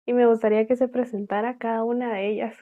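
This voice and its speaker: A woman's voice: a little high, flat delivery, fast. Young Guatemalan woman host, tinny phone-like sound. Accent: Guatemalan Spanish. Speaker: podcast host.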